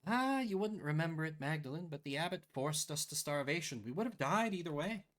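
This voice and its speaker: A man's voice: fairly high pitch, animated, brisk, fairly bright tone, slight background noise. A man voicing a weary, sorrowful peasant mother in a video game, speaking plaintively.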